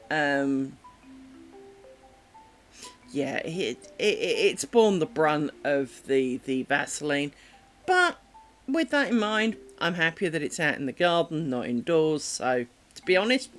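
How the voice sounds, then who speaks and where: A woman chatting casually on a craft live stream, rambling and hesitant with many ums and restarts. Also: sits low. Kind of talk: casual live-stream chat while making lace.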